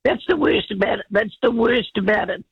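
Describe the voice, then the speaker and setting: A woman calling in to a radio show, chatting casually with a croaky voice and many repeated restarts. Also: mid-range voice, fairly quick. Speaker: caller. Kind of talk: casual radio call-in chat. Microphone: telephone. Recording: dull lo-fi phone line.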